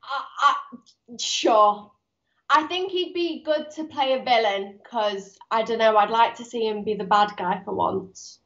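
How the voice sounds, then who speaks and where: A woman's voice: fairly high pitch, measured pace, a bit bright, dull audio. A young woman chatting casually and informally as an interview guest. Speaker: guest, actor's daughter. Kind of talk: casual chat.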